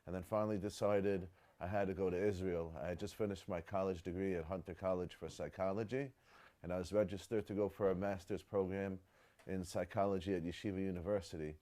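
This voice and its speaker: American man, earnest interview answers, deep and mellow. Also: fairly even intonation, fairly quick. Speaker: student.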